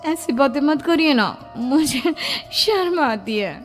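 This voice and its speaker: Woman as a high, animated village wife. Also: slow pace. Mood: bashful. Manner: emotional, pleading, exclaiming. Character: scolding, bashful, easily frightened young village wife. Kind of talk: horror audio story acting. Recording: faint music behind.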